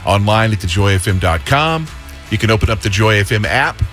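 A man's voice: fairly low pitch, expressive, fairly quick, a bit bright, soft background music. A man hosting a radio fundraiser, upbeat, rattling off donor names and urging listeners to give. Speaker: radio host. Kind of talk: fundraising appeal.

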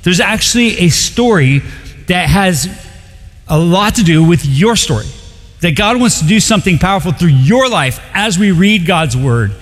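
A lively, joking man from Colombia preaches to his congregation in a church sanctuary. His voice sits a little high and keeps an animated, playful energy. A long reverb from the large hall trails behind every phrase. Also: moderate pace. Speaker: pastor. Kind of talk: sermon.

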